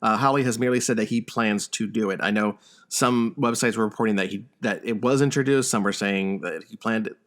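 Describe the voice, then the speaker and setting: A man's voice: on the low side, a little fast. A man hosting a gaming podcast, talking casually and running through news items. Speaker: podcast host.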